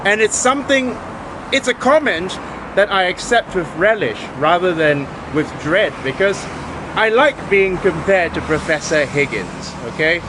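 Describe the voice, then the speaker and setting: High-voiced man, British accent, emphatic. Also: animated, a little slow, noisy background. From Malaysia. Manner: emphatic, tags points with "okay?" and "right?". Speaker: vlogger. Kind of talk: opinionated monologue.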